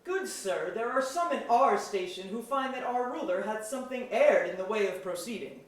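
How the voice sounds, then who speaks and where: A woman's voice: a little high, expressive, moderate pace, lightly acted. Woman as a stuffy courtier in a very echoey hall. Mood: evasive, defensive. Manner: formal archaic diction, prim. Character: stuffy, pedantic gentleman courtier, disapproving and evasive. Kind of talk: staged reading of mock-Shakespearean verse drama. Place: museum auditorium. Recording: very echoey auditorium, distant mic.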